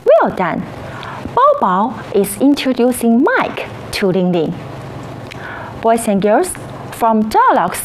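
A woman teaching a children's English lesson, encouraging and praising in slow, sing-song teacher talk. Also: fairly high pitch, a little hiss or hum, poor audio.

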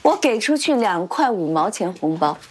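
An actress playing an outspoken, animated housewife in a naturalistic television drama. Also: female voice, mid-range voice, normal speed, a little hiss or hum, lightly acted. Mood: indignant.

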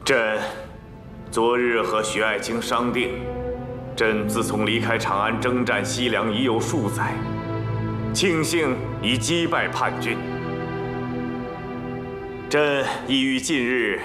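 A man's voice: medium pitch, slow pace, long pauses, natural voice. A man acting a stately, formal emperor in a Chinese historical drama. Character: emperor, stately, probing, melancholy ruler. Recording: loud music behind the voice.

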